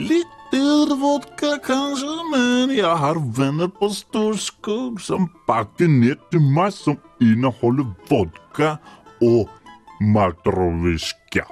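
A man's voice: on the high side, a little mellow. A man playing a jovial, exuberant Russian dance-band musician with a Russian accent and broken grammar in a comic radio sketch.

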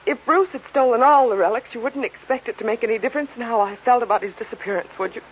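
A woman's voice: fairly high pitch, fast talker, breathy edge. An actress playing a refined, anxious young woman, polite and guarded, in a 1950s radio drama. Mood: offended. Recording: tinny, noisy old radio recording.

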